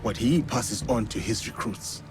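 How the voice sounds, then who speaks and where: An actor playing a stoic, honourable warrior of feudal Japan in a video game, speaking in measured, formal phrases. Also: male voice, lowish voice, over music, lightly acted, low-quality recording. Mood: solemn, resolute. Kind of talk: video game cutscene acting.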